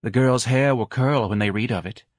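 A man's voice: mid-range voice, normal speed, dry recording, clean studio sound, close to an everyday voice. A man voicing a melodramatic, self-righteous middle-aged wife in an audiobook, grandly reproachful and scornful. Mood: martyred, reproachful. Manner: overwrought, martyred, grandly reproachful.

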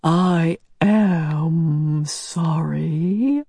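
A woman's voice: low-pitched, dark tone, breathy edge, dry recording, clean studio sound, heavily acted. A woman voicing an ancient otherworldly female being who draws out every word. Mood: apologetic. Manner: drawn-out, deliberate words.

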